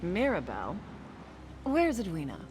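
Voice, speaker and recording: A woman's voice: on the low side, wildly swooping pitch, normal speed, background noise. A woman voicing a haughty, worldly female governor in a video game, with a pompous, condescending manner. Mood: pleased, condescending. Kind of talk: dramatic video game dialogue.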